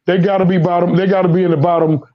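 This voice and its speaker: A man's voice: high voice, little pitch movement, very fast, highs cut off. A man who is losing his voice, hosting casual sports talk and rambling with lots of repeated words. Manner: rambling, repeats words, Southern American slang. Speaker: sports podcast host.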